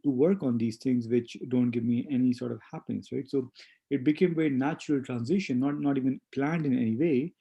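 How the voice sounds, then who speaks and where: A man in his forties who grew up in India and speaks English as a second language, reflecting slowly with many pauses and fillers as an interview guest. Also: medium pitch, gravelly, warm tone, slightly rough. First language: not English. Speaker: guest, spa owner. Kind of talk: casual interview conversation.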